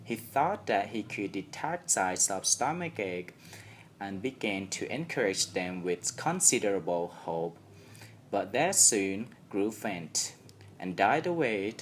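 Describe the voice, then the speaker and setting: Audiobook reader: young male, Thai accent, lowish pitch, markedly slow, deliberate delivery. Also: very animated, a little hiss or hum. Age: young adult. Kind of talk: scripted story narration.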